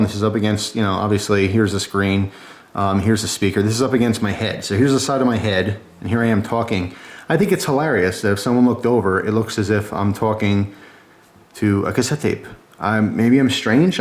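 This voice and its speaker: Low-voiced man giving a casual chatty review. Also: a little mellow. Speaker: product reviewer.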